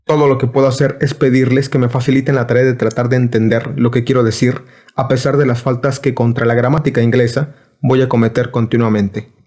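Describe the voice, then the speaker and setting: A man giving a measured, formal scripted reading of a philosophy lecture. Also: mid-range voice, level tone, a little mellow.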